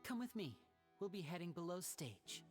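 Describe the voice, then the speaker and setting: Theatrical young male magician, lively intonation. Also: lowish voice, faint music behind. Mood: smooth showmanship. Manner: theatrical, measured explaining. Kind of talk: anime game dub dialogue.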